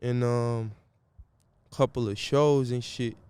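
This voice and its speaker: Guy chatting easy, smooth clean voice, slangy, lots of "you feel me". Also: medium pitch, steady tone, slow, darkish tone. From Brazil. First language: Portuguese. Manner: laid-back slangy talk, keeps saying "you feel me". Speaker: guest musician. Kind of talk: casual interview chat.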